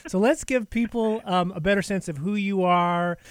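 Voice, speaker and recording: A man co-hosts a relaxed interview in a slightly high voice. He talks loosely and chattily, with laughing asides. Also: moderate pace, smooth, dry recording, studio quality. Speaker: podcast co-host. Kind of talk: casual interview chat.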